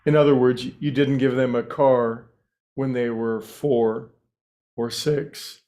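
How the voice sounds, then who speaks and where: Man guiding a meditation, unhurried pauses. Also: medium pitch, fairly even intonation, warm tone. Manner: unhurried, pausing between short phrases. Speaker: meditation guide. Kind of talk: guided meditation talk.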